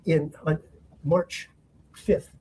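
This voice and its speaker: A man examining a witness in a courtroom, speaking haltingly with many pauses and rephrasings. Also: a little high, steady tone, mellow, a little hiss or hum, poor audio. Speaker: trial attorney.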